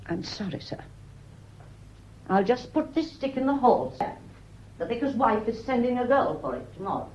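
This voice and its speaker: An actress playing a deferential, mournful old maidservant in a radio play, with a wistful, lingering way of speaking. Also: female voice, on the high side, very animated, normal speed, pauses often, darkish tone, slightly rough, poor audio. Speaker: radio drama actor.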